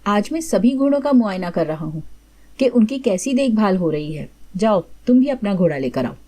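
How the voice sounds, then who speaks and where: A woman's voice: mid-range voice, very animated, moderate pace, dark tone. A woman voicing a commanding king in a folk tale, questioning and giving orders. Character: commanding male king of an old Indian court.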